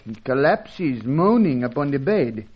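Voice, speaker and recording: Solemn, humble guide. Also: male voice, mid-range voice, sing-song, breathy edge. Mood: confiding. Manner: formal, halting, florid storytelling. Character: superstitious, humble South American local guide, courteous and solemn. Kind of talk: old-fashioned radio serial acting. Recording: dull, band-limited old radio recording.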